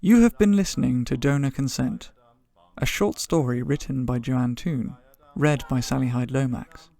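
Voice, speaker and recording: A man's voice: medium pitch, normal speed, vocal fry, warm tone. A man reading scripted podcast credits as an announcer. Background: music.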